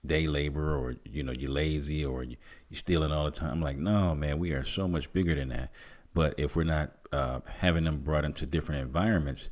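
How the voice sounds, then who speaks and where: A man's voice: very deep voice, darkish tone. A man in his fifties speaking earnestly and encouragingly in a conversational podcast discussion. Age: 50s. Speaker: podcast host.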